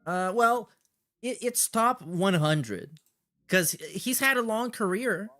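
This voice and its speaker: Slangy male livestreamer, slightly high voice. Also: very animated, takes it slowly, sharp tone. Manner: slangy, sweary, sarcastic. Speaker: livestream host. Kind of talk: casual chat with shout-outs and commentary.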